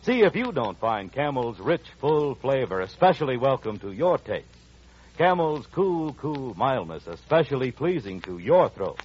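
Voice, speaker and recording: A man's voice: medium pitch, sing-song, a little slow, darkish tone, husky. A man announcing formally and emphatically in polished 1940s radio style. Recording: muffled 1940s radio broadcast. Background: music.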